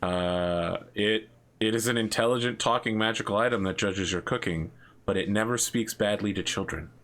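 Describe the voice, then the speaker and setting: A man with a low, bright, forceful voice, leading a casual chat as game master. He jokes and laughs easily. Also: normal speed.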